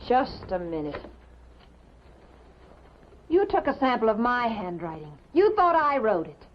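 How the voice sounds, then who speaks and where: Lively, insistent newspaperwoman. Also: on the high side, unhurried. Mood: indignant. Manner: prim, insistent, scolding. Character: sharp-tongued, worried, know-it-all female newspaper colleague. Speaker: actress. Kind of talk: 1950s American TV drama acting. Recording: muffled, noisy 1950s television soundtrack.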